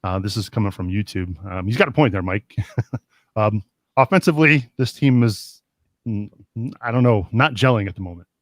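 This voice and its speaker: A man with a Midwestern American accent hosting a casual sports talk show, ranting in a heated, animated way. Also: lowish voice, moderate pace, dry recording, studio quality. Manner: animated, indignant rants. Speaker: podcast host.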